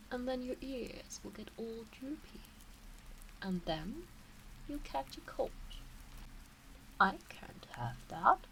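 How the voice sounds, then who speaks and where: Slow, whispery fox witch, acted by a woman. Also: a little high, a bit bright, a little hiss or hum, heavily acted, poor audio. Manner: slow, breathy, whispery cooing. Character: teasing, doting kitsune fox witch mistress, motherly vixen. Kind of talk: intimate ASMR roleplay.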